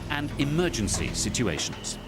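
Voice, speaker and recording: A man's voice: medium pitch, big pitch swings, moderate pace. A man delivering scripted documentary narration. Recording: music behind the voice. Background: music.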